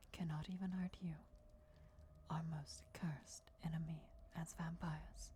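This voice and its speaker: A woman's voice: on the low side, dark tone, slight background noise. Whispering ancient vampire countess, acted by a woman. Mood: solemn, proud. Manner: formal, deferential, measured whispering. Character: ancient female vampire countess, devoted herald's speaker, formal and reverent. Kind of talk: whispered fantasy ASMR roleplay.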